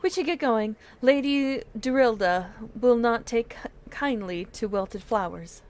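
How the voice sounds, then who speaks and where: A woman voicing a polite, scheming adventurer in a game with formal, measured delivery. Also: fairly high pitch, a little mellow, slight background noise, natural voice. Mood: brisk.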